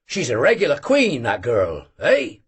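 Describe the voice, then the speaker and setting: A man voicing a boisterous, bragging old male Cossack who teases loudly and argues emphatically, in a husky voice. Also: fairly high pitch, wildly swooping pitch, measured pace, exaggerated voice. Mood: teasing. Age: older. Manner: bellowing, teasing, emphatic.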